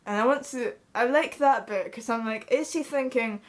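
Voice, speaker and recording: A woman's voice: on the high side, normal speed. A young woman with a Scottish accent chatting casually to camera in a small bedroom, blunt and swearing freely. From Scotland. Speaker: vlogger. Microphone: camera in front at close range.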